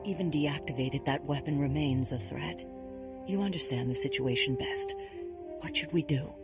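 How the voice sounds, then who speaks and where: A man voicing a weary, wise Jedi master in a video game, measured and formal. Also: on the high side, wildly swooping pitch. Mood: solemn. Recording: music playing behind.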